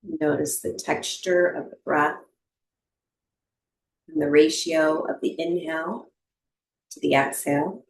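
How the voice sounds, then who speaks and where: A woman teaching yoga nidra and breathwork, giving guided meditation instructions in a gentle, soothing manner with long pauses. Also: low-pitched, steady tone, creaky. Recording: roomy, echoing voice recording.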